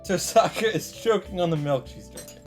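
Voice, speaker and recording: Visual novel commentator, mid-pitched voice, story read-through with reactions, music underneath. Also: lively intonation, moderate pace. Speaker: video game commentator reading aloud. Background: game music.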